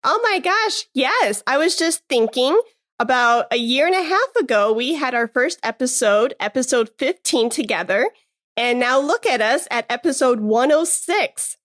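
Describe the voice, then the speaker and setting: High, upbeat woman podcast host. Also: lively intonation, normal speed. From Chicago, USA. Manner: upbeat, warm, encouraging, laughs often. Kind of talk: podcast interview.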